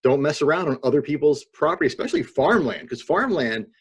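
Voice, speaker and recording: A man with a husky voice joking deadpan in a casual comedic panel chat. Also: fairly high pitch, fast talker. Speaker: podcast panelist. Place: remote video call.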